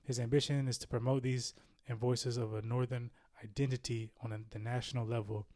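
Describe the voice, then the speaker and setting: A man's voice: medium pitch, little pitch movement, brisk, warm tone. A man hosting a podcast, introducing his guest in an upbeat, conversational way and reading out the guest's bio. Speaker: podcast host. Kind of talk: podcast introduction and casual chat.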